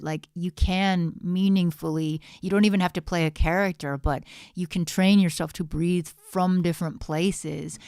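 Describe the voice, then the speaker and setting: A woman's voice: lowish voice, darkish tone, no echo, clean studio sound. A woman talking casually and candidly on a feedback livestream, encouraging and slangy like a friend. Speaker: music producer giving feedback on livestream.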